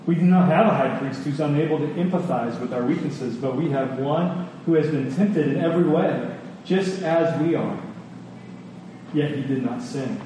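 A male preacher delivers an earnest sermon in a fairly high, soft-edged mellow voice. He leans on key phrases and repeats them for emphasis. The sound is heavily reverberant, as if in a large hall. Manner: earnest, emphatic, with deliberate repetition for weight. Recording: echoey hall, distant, faint noise.

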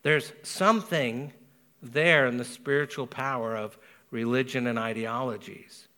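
A man preaching a sermon as pastor, speaking earnestly with a Spanish accent and slowing down to stress key words. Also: medium pitch, bright, forceful tone. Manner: earnest, measured preaching with emphatic slow stresses.

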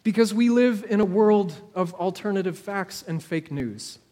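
A man preaching a storytelling sermon in a measured way, with dramatic pauses and repeated phrases. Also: sits high, expressive, dark tone. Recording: long hall reverb.

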